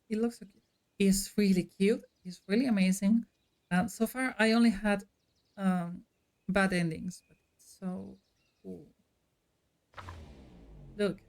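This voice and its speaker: Slow-talking woman streamer with a mellow voice. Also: mid-range voice. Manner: friendly and unhurried, chatting directly to chat. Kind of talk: casual chat with stream viewers while playing.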